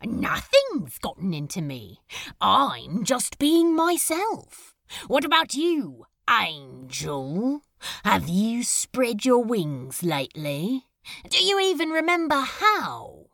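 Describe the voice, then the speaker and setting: A woman's voice: fairly high pitch, huge pitch swings, slow pace, creaky, a bit bright, no room sound, clean studio sound, heavily acted. A woman playing a brooding, sardonic male demon in a fan audio drama, taunting and wounded. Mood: sardonic, needling. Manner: sardonic, challenging.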